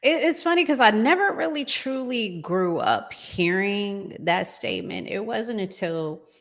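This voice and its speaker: A woman's voice: medium pitch, sing-song, unhurried. An American woman hosting a casual talk show in a conversational way. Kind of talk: casual relationship talk show with sponsor read.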